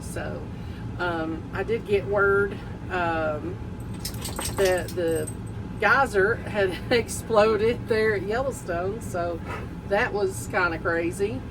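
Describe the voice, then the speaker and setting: A woman's voice: medium pitch, slow, recorded from a distance, noisy background. An older woman from Tennessee with a strong Southern accent, chatting casually on a travel vlog with many ums. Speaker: vlog co-host.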